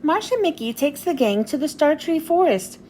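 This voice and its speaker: A woman's voice: high voice, very animated, normal speed, a little mellow. A woman reading a children's storybook aloud as narrator, expressively, as if to young children. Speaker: children's book reader. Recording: faint background noise.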